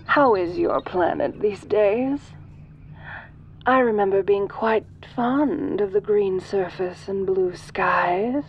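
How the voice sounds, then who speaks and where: A woman voicing an ancient, godlike alien in a soft-voiced audio roleplay, sinister, seductive and imperious, speaking formally and silkily. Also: mid-range voice, highs cut off, slight background noise, close to an everyday voice. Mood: calculating, regal. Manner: slow, imperious, formal, silky.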